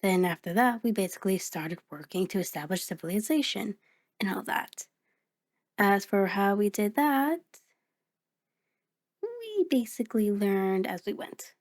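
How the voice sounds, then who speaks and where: A woman's voice: mid-range voice, lively intonation, unhurried. A woman voicing a sentient mirror reflection in an ASMR audio roleplay, speaking directly to the listener in a conversational, hesitant, explanatory way. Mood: chatty, reassuring. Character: sentient female mirror reflection, sheepish, chatty, patient explainer.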